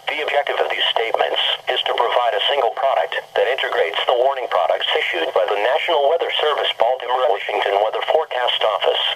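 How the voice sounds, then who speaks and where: A man's voice: medium pitch, expressive, fast talker, few pauses, a bit bright, husky, low-quality recording. A synthetic American man's voice reads a formal, impersonal scripted weather bulletin as a weather radio announcer. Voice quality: synthetic text-to-speech voice. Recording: weather radio broadcast sound.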